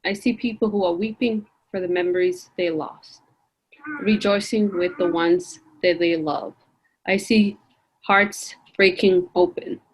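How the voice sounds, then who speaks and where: A woman reciting a poem in a gentle, earnest way. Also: on the low side, fairly even intonation, measured pace, a little hiss or hum, low-quality recording.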